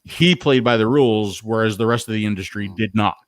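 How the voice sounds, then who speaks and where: Frank, halting male guest, dry sound. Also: on the low side, dry recording. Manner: frank, halting, repeats words. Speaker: podcast guest. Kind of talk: casual chat.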